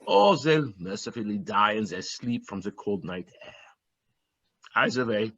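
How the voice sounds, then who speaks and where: A man's voice: lowish voice, expressive, measured pace, fairly bright tone, husky, no room sound, highs cut off, full character voice. A man acting a bleak, callous woodcutter father from a fairy tale, in a dramatic, confessional stage-play style. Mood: grim, confessional.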